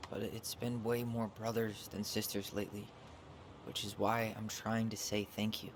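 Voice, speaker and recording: An actor playing a supportive, earnest young adult brother with an American accent in a naturalistic video game cutscene. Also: male voice, lowish voice, little pitch movement, moderate pace, background noise, lightly acted. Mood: earnest, reassuring.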